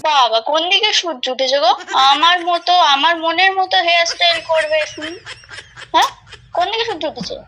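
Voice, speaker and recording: Young female, very quick and blunt banter on a noisy phone call. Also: very high voice, sharp tone. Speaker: friend receiving a prank call. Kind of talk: casual phone chat.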